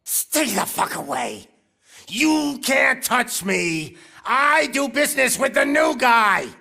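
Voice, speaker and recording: An actor playing a crude, foul-mouthed, boastful gang boss in a video game, shouting. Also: male voice, extremely high pitch, big pitch swings, slow, creaky, full character voice. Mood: panicked. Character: crude, drug-fueled male gang boss showman. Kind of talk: video game cutscene acting. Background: loud music.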